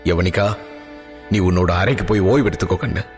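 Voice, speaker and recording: A man voicing a calm, gentle middle-aged father who soothes his daughter. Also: fairly low pitch, normal speed, in a large hall, faint music behind, natural voice. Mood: soothing.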